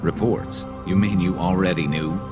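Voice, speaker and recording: Man acting low-pitched, exasperated squadmate. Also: steady tone, moderate pace, over music, natural voice. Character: loyal, exasperated male squadmate. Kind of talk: dramatic video game dialogue.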